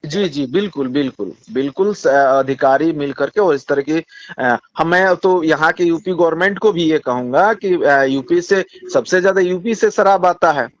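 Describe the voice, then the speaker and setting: The sound is a slightly muffled, lo-fi phone call. A man with a Bihari accent and a somewhat high voice makes a political argument. He is insistent, often stumbling and repeating his phrases. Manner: halting, repetitive, insistent. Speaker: communist party politician. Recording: dull lo-fi phone call recording.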